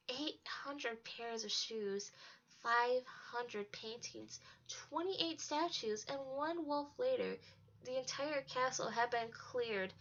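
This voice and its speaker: A woman's voice: on the high side, a bit bright. A woman narrating a storybook as a read-aloud. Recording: dull lo-fi home recording.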